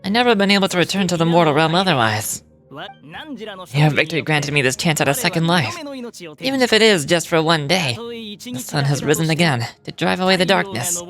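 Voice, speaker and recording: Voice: mid-range voice, lightly acted. Actor as solemn, noble fantasy hero, formal delivery. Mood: solemn gratitude. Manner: formal, measured, reverent. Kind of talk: scripted fantasy game dialogue.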